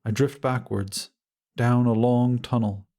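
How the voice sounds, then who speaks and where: Guy reading an audiobook story, really slow and measured, dramatic pauses, average pitch. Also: steady tone, dark tone. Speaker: audiobook narrator. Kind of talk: scripted first-person story narration.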